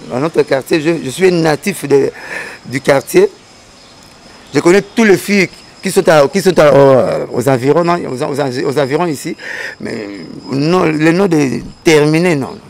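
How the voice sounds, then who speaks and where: A man with a gravelly, fry-laden voice sitting a little high for a man. He rambles through a story, circling back and repeating himself, and acts out the people in it. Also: a little hiss or hum. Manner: digressive, repeats words and restarts, acts out quoted voices. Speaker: guest storyteller. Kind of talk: animated storytelling chat.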